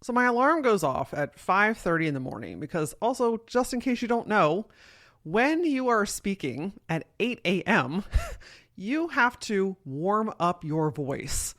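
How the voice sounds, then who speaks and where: A woman in her thirties with a deep voice, hosting a solo podcast and telling a personal story in a candid, swearing, animated way. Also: measured pace. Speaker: podcast host and keynote speaker. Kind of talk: casual solo podcast monologue.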